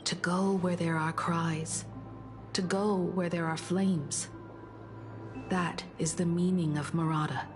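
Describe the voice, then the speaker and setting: Poised, low-voiced heroic daughter. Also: female voice, steady tone, takes it slowly, soft background music. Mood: solemn storytelling. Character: resolute, composed grown daughter, a poised heroic traveller. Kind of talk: dramatic video game voice acting.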